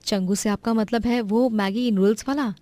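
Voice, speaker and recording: A woman's voice: on the high side, steady tone, moderate pace, dark tone, no room sound, studio quality, lightly acted. A woman voicing a resourceful young village wife in a cartoon, eager and upbeat. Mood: worried.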